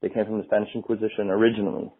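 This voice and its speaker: A man's voice: fairly low pitch, level tone, very fast, husky. A man, a rabbi explaining things thoughtfully in a friendly chat. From United States. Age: 50s. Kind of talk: casual conversation. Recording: phone-like tinny line.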